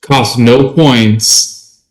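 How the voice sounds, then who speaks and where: A man's voice: medium pitch, steady tone, very slow, rough recording. A man co-hosting a podcast, chatting casually about tabletop gaming. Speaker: podcast co-host.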